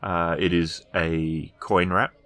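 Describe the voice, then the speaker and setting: A man's voice: deep voice, level tone, takes it slowly, sharp tone. A man narrating a tabletop role-playing game as its game master.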